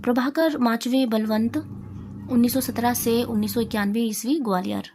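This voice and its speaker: A woman teacher reads out exam revision questions, answers and lists, reciting deliberately item by item. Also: a little high, fairly even intonation, dark tone. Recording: clearly noisy amateur recording.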